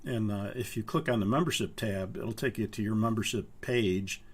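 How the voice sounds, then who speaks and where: An older man from Minnesota, hoarse from a cold, walking students through a flight training course in a halting way with many pauses. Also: on the low side. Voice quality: gravelly, husky. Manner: halting, with frequent uhs. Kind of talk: live online course walkthrough.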